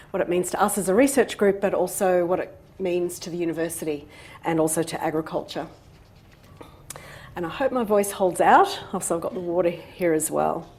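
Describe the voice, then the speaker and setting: A woman, middle-aged, giving a university seminar lecture in a measured way with frequent ums and uhs. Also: mid-range voice, darkish tone. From Australia. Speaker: guest speaker, professor. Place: lecture room.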